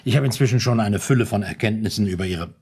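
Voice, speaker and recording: Very husky, businesslike private detective. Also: male voice, on the low side, a little mellow. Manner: businesslike, measured questioning. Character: matter-of-fact male private detective reporting to a client. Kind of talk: German radio play acting.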